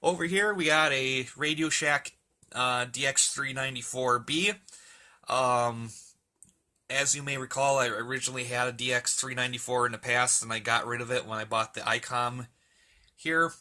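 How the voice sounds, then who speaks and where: A man's voice: mid-range voice, steady tone, moderate pace, sharp tone. A man giving a casual, off-the-cuff video tour of a hobby collection, hesitant and searching for words, recorded indoors on a handheld camera's mic. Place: indoor room with shelves of radios. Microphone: handheld camera mic, behind the camera.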